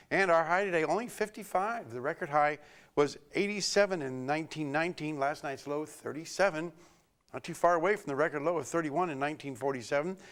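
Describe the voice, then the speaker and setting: A man presenting a local TV weather forecast, a little hoarse from a cold, talking loosely with many fillers and restarts. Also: a little high, a bit bright.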